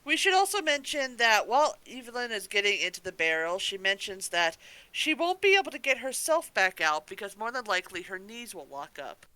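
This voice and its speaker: A woman's voice: medium pitch, very animated, fairly quick, sharp tone, dry recording, poor audio. A woman co-hosting a casual review podcast, throwing in wry, sardonic remarks. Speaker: podcast co-host.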